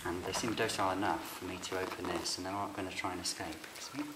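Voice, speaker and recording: A middle-aged man, a licensed bird ringer, calmly explaining his fieldwork while handling birds in a farm barn. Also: low voice, quick pace. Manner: calm, quiet, hands-on explaining with fillers. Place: open farm barn on straw, outdoors air. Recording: noisy outdoor camera recording.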